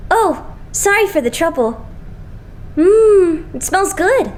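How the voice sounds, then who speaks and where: A woman's voice: extremely high pitch, big pitch swings, takes it slowly, a little mellow, slight background noise, exaggerated voice. A woman voicing an eager, chatty teenage boy idol in an anime-style fan dub, earnest and easily starstruck.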